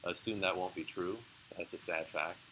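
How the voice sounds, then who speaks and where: Male professor, lowish voice, crisp bright tone, relaxed explanatory classroom talk, faint background noise. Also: moderate pace, poor audio. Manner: casual, explanatory, answers student questions with many fillers. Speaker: university lecturer. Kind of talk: live classroom lecture. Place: lecture room.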